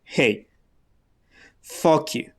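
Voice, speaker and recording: An actor playing a guarded, defiant radical under questioning in a radio drama, terse and defensive. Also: male voice, extremely slow, a bit bright. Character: guarded, defiant male radical being interrogated.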